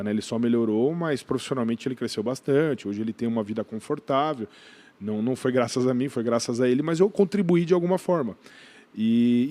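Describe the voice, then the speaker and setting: A Brazilian man talks as a guest on a podcast, in a medium-pitched voice. His delivery is casual and halting, with repeated words and restarted phrases. He often tacks a brief questioning tag onto what he says. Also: darkish tone. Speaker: podcast guest, gym brand owner.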